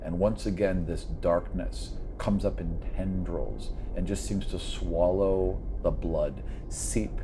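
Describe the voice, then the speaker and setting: A man's voice: low voice, steady tone, slow pace, hardly any pauses, over music, rough recording. A man narrating a tabletop fantasy game as its game master and host, in a vivid, conversational style.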